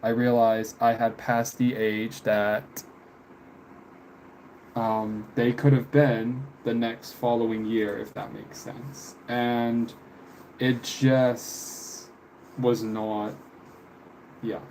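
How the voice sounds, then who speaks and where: A man in his mid-twenties chatting casually on a live stream, halting and reflective, often trailing off. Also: mid-range voice, little pitch movement, a little mellow, a little hiss or hum, poor audio. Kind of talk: casual live stream chat answering viewers. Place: room with window blinds, indoors.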